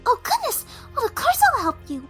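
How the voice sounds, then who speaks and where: Very high, timid pegasus pony. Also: female voice, wildly swooping pitch, brisk, over loud music, full character voice, rough recording. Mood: shy, kind. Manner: hesitant, apologetic, gently stammering. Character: timid, soft-spoken young female pegasus pony, kind and anxious. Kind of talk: cartoon fan audio drama.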